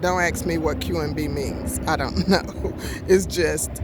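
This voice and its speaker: A woman in her sixties recounts her experience in a slow, unhurried way, stopping often to search for words and correct herself. She speaks outdoors in a park, and wind and street noise are clearly heard behind her voice. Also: deep voice, steady tone. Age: 60s. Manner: halting and rambling, many fillers, corrects herself. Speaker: vlogger. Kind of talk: casual personal storytelling.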